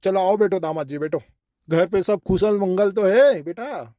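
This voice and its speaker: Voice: mid-range voice, normal speed, dark tone, dry recording, clean studio sound, natural voice. A performer voicing a genial older father-in-law in a cartoon comedy, placating and drily witty. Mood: welcoming.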